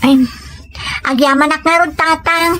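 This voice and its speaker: A woman acting as a dutiful Filipino daughter still in her studies, in an audio drama. Her voice is notably high, and her manner is modest, polite and grateful. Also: steady tone, measured pace, music in the background. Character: dutiful young female student, grateful daughter.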